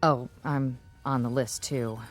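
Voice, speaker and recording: An actress playing a thoughtful, quietly uneasy woman in a radio drama, measured, dry and understated. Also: rumbling low, steady tone, faint music behind, heavily acted. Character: thoughtful, uneasy female language analyst, quietly wary.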